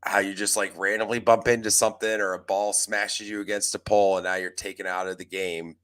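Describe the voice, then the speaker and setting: Male comedian co-host, low and bright, big emphatic voice, exasperated riffing. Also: flat delivery, a little fast, clear, resonant tone. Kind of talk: casual joking recap chat.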